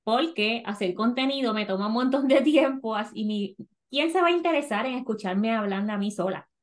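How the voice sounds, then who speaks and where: Lively Puerto Rican woman podcast host. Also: a little high, fairly quick. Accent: Puerto Rican Spanish. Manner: lively, laughs easily, mixes in English. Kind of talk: casual bilingual chat.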